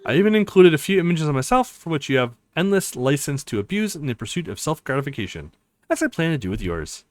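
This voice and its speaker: A man's voice: a little high, big pitch swings, fast. A man voicing a nerdy, smug female hacker with wordy, mock-formal phrasing in a visual novel. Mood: smug, teasing.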